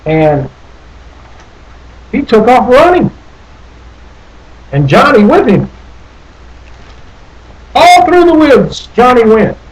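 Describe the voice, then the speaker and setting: A man's voice: extremely high pitch, big pitch swings, takes it slowly, pauses often, rough recording. A man reading a children's story aloud expressively, theatrically lingering over phrases. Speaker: storybook reader. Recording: noticeable background noise.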